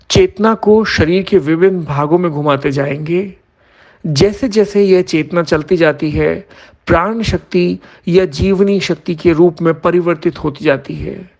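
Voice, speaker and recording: A man leading a guided yoga nidra meditation as an instructor, giving measured instructions in short phrases with pauses. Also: fairly high pitch, gravelly, muffled recording.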